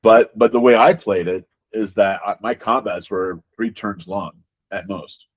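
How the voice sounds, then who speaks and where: Low-voiced man explaining in a casual interview. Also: fairly even intonation. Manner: halting, repeats words while explaining. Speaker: interviewed game designer.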